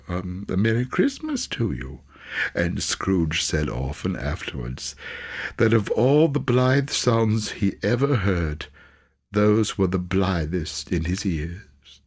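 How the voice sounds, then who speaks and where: A man reading a literary story aloud as an audiobook narrator, with theatrical, deliberate phrasing. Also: on the low side, a little mellow. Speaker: audiobook reader.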